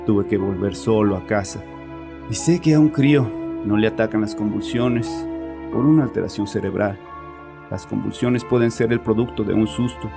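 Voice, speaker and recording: An actor playing a troubled, chauvinist bereaved father confessing in an audio horror drama, with a haunted, halting manner. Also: fairly low pitch, vocal fry, over music. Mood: haunted, grieving. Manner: haunted, halting, repeats himself.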